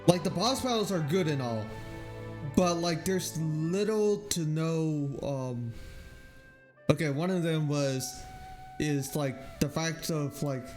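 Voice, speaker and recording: Male game streamer, slightly high voice, halting and unhurried, casual chat over light music. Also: darkish tone. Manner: hesitant, halting, thinking aloud. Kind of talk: casual livestream chat, rambling review.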